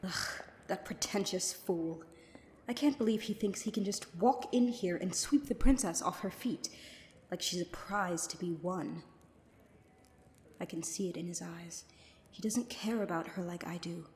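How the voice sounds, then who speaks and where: A woman's voice: lowish voice, unhurried, a little mellow, a little hiss or hum. A woman playing a loyal, guarded royal knight in a fantasy audio drama, speaking formally and with composure. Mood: bitter muttering. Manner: formal, composed, coolly defiant. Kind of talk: fantasy audio roleplay acting.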